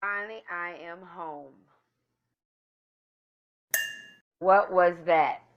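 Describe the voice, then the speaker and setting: Woman acting low-voiced, defiant vigilante heroine. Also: unhurried, muffled recording, noisy background, lightly acted. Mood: guarded. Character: defiant, guarded female vigilante hero with a past. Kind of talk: amateur superhero film acting.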